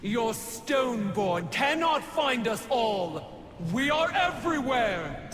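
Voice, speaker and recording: An actor playing a sly demon infiltrator in a video game cutscene, taunting with silky mock courtesy. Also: male voice, extremely high pitch, very animated, slow, music in the background, heavily acted.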